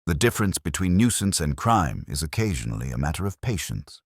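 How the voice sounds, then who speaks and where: A man voicing a tranquil, shrewd police inspector in an audiobook mystery, speaking gently and with measured kindness. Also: very deep voice, sing-song, dry recording, studio quality, exaggerated voice. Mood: calm.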